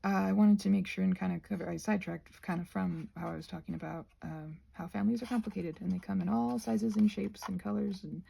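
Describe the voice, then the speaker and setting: A woman rambling casually to viewers as she crafts, in a halting, digressive way that often doubles back on itself. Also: mid-range voice, gravelly, mellow, slightly rough. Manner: halting, digressive, self-interrupting. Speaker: craft and ASMR video creator.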